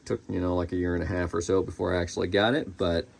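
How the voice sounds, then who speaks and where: Male collector-reviewer, lower-pitched, informal and unscripted, pausing to think mid-sentence. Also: level tone, creaky, slight background noise. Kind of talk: casual unscripted product review.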